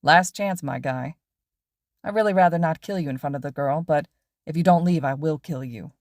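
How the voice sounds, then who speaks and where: An easygoing, cocky young man, read by a woman narrating a fan story, low-pitched and unhurried. His talk is relaxed and quietly assured, recorded close and dry. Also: no room sound. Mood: smug. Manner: easygoing, soothing, smug. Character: laid-back cocky young man, protective.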